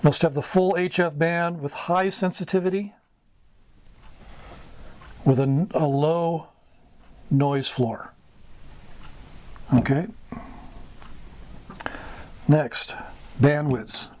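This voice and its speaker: Higher-pitched middle-aged man, casual explainer. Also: extremely slow, pauses often, darkish tone. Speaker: host of a hobby radio tutorial. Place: small wood-paneled indoor room. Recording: clear background noise, room ambience.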